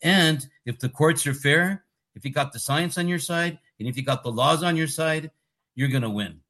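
A man's voice: on the high side, moderate pace, bright, forceful tone. A man in his sixties with a Californian accent, explaining his conservation work as a guest in an earnest, explanatory way that builds to emphatic points. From Costa Rica. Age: 60s. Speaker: guest, marine conservation scientist. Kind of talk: casual interview talk.

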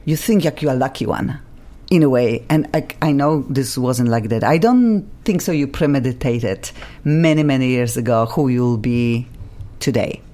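A woman from Poland hosts a radio talk show in a studio, speaking with a strong Polish accent. Her voice sits low, and she talks in an eager, chatty way, with halting phrasing. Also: dark tone. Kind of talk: casual studio chat.

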